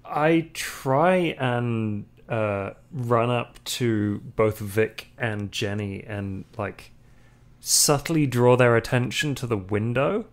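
A man's voice: medium pitch, takes it slowly. A man improvising his character's actions as a player in a tabletop roleplaying game.

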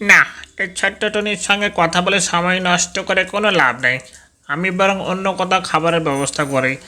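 A scheming, hungry male fox from a kids' cartoon, acted out by a man. The voice is piercingly bright and sits a little high, with a sugary, coaxing delivery. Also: fairly even intonation, a little fast. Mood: muttering, scheming. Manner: sweet-talking, coaxing. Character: sly greedy male fox, wheedling and scheming. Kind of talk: children's cartoon voice acting.

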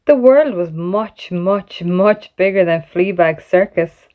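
A woman reading a children's picture book aloud as an expressive bedtime story. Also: on the low side, slow pace, narrow, tinny audio. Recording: music playing behind the voice. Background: background music.

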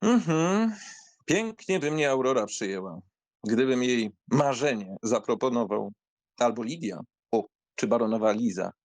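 An actor playing a carefree, mocking young count in a dramatised audiobook, with an ironic, theatrical way of talking. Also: male voice, medium pitch, expressive, a little slow, no room sound. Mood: amused, mocking.

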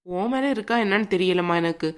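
Woman playing a gentle grandma in a family drama, medium pitch, chatty and soothing, keeps the peace. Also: quick pace, close to an everyday voice. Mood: confiding, placating. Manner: conversational, soothing, colloquial. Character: gentle, peacemaking grandmother, mother of a grown daughter.

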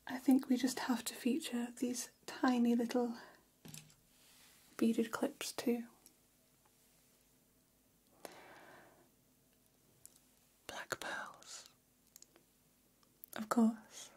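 An elegant vampire hairstylist, played by a woman, whispers in a very breathy, mellow voice. She confides salon gossip slowly and soothingly, close to the mic, in an ASMR role-play. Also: fairly high pitch. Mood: soft, admiring. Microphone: close ASMR microphone.

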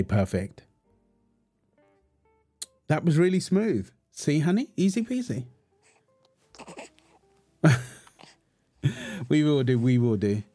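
A man playing a gentle, reassuring young father and husband in an intimate audio roleplay, talking in a soothing, affectionate, casual way. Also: medium pitch. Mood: polite, relieved. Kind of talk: intimate comfort roleplay, casual one-sided dialogue.

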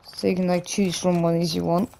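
Croaky young man chatting over gameplay. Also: high voice, little pitch movement, quick pace, dark tone, slight background noise, rough recording. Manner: rambling, loose, with many restarts and "like". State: hoarse, croaky from a cough. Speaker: gaming YouTuber. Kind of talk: casual gameplay commentary.

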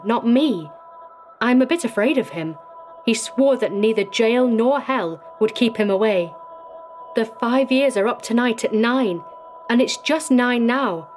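A woman voicing a nervous, guilt-haunted male district attorney in a dramatic story reading, anxious and halting. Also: on the high side, voice pushed up, close to an everyday voice. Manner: anxious, halting, stammering in fright.

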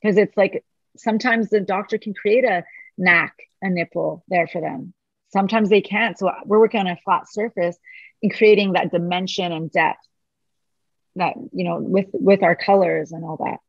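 A woman speaking quickly and with animation as a podcast guest, chatting in a remote interview. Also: fairly low pitch, slightly rough, no echo, dull audio. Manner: fast, animated talker. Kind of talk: casual conversation.

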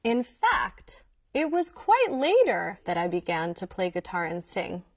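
A woman's voice: medium pitch, huge pitch swings, unhurried, no echo, clean studio sound, far from the mic. A woman, a folk musician telling young listeners about her family and music in an enthusiastic storytelling manner. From Queens, New York. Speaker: folk musician presenting to children. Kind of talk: scripted educational talk to young listeners. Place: indoor studio with wooden wall backdrop.

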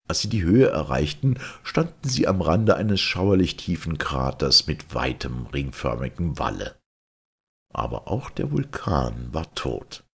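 Very deep, gravelly male storyteller. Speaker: audiobook narrator. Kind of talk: scripted narration of an old science-fiction novel.

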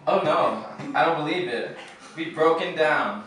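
Bossy, exasperated male traveller played by a man, sharp and animated radio-play reading in an echoing room. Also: on the high side, a little slow. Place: open indoor studio tour space, group around stand mics. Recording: very echoey room, distant microphone, faint noise.

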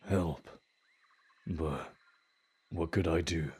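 A man playing a tender, desperate father in a fantasy audio drama, speaking in an earnest, halting, hushed husky voice. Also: rumbling low, steady tone, exaggerated voice. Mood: earnest, resolute.

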